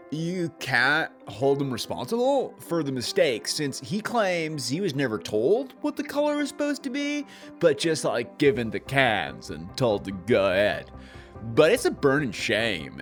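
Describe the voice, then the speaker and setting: Man doing a loose, animated, indignant magistrate. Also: mid-range voice, unhurried, soft background music. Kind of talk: character line reading.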